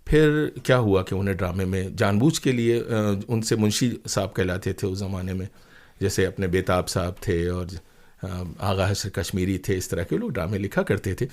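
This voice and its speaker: An Indian man with a fairly low voice is the guest in an interview. He tells his stories in a conversational, animated manner, stressing points as he goes. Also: normal speed. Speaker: interview guest, broadcaster and playwright.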